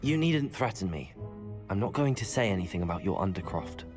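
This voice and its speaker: A discreet new student speaks in a polite, reserved voice. His pitch sits somewhat low and steady. Faint music runs beneath the game cutscene. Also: a little fast.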